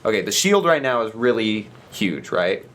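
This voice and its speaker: A man's voice: fairly low pitch, big pitch swings, moderate pace, fairly bright tone. A man co-hosting a podcast, chatting casually and giving opinions in informal language. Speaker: podcast co-host. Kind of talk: casual panel chat about pro wrestling.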